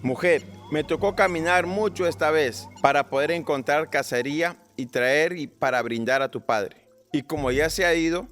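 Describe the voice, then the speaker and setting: A man's voice: a little high, moderate pace, a bit bright, natural voice. A man playing a wary hunter, husband and father, in a radio play, speaking in a declamatory way. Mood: weary, matter-of-fact.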